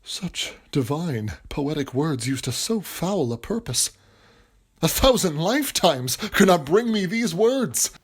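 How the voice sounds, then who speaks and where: Man acting a high-voiced, grandiloquent playwright. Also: big pitch swings, takes it slowly, husky, heavily acted. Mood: amazed. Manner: theatrical, grandiloquent. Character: excitable, ambitious, florid male playwright. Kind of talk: period stage-play audio drama acting.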